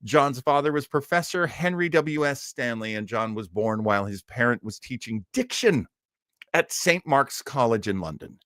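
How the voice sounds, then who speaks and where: Man with lively, swooping delivery, close dry sound. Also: mid-range voice, moderate pace, no room sound. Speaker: live stream host. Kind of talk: casual live commentary on old-time radio, reading an article aloud.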